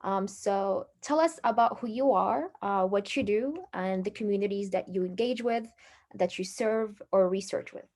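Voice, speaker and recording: A woman's voice: medium pitch, moderate pace, a little mellow. A young woman from Morocco speaking as a panel moderator, introducing a session in a formal, organized style.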